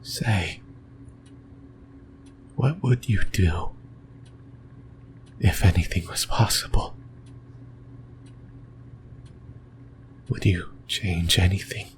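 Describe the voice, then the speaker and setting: Man acting a slow, whispering, lonely demon. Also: low voice, slight background noise. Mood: uncertain, longing. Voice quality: breathy whisper. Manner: slow, halting, rambling, intimate. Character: lonely, possessive male sleep paralysis demon, musing and uncertain. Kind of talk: creepy whispered ASMR roleplay monologue. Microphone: close microphone, whispering into the ear.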